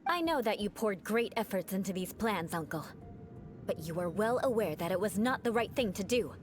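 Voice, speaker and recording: A proud young noblewoman knight, voiced by a woman, cool and composed, answering with dry, sharp remarks. Faint game music sits behind her. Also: medium pitch, lively intonation, normal speed, natural voice. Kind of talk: video game voice acting.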